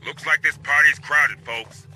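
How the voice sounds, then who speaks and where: An actor playing a tough, wry marine commander in a video game, brash and commanding. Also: male voice, on the high side, fairly even intonation, a little slow, sharp tone, slight background noise, rough recording. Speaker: squad commander.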